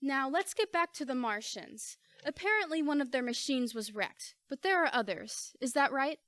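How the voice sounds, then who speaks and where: Woman playing a high-voiced, inquisitive newspaperwoman. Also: animated, moderate pace, fairly bright tone, no echo, studio quality. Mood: curious, brisk. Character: brisk, wry, inquisitive newspaperwoman. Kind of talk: amateur radio play acting.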